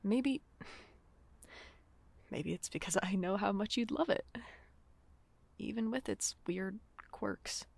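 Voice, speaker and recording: A woman's voice: mid-range voice, slow pace, vocal fry, long pauses, no room sound. A woman playing a wistful, haunted figure recording a private log in a horror audio drama, hesitant and brooding.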